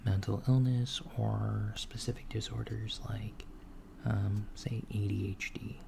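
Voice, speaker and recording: A man voicing a calm alien doctor in a soft-spoken, half-whispered ASMR roleplay, gently asking a human patient clinical questions. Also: lowish voice, takes it slowly, gravelly, background noise, heavily acted. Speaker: ASMR creator.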